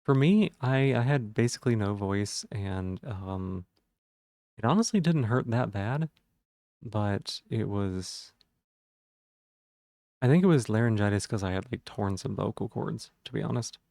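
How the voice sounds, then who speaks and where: A man with a gravelly voice and a sore throat, rambling casually to viewers on a livestream while checking things aloud. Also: fairly low pitch, lively intonation, measured pace, darkish tone, no echo, studio quality.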